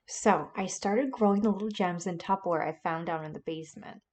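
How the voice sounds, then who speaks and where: Woman acting as doting chatty mom, middle-aged, lowish mellow voice, folksy, affectionate, audio drama. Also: a little fast, close to an everyday voice. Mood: eager, chatty.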